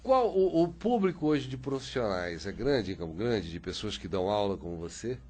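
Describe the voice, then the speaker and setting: Guy hosting a radio chat, kinda low voice, lots of fillers. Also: sing-song, moderate pace, a little mellow, slight background noise. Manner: chatty, rambling questions with many fillers. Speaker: radio host. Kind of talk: radio interview.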